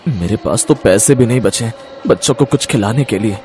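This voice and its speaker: A man playing kindly, protective village men in a moral story, earnest, with a husky voice. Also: on the low side, normal speed, darkish tone, faint music behind, close to an everyday voice. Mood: despairing.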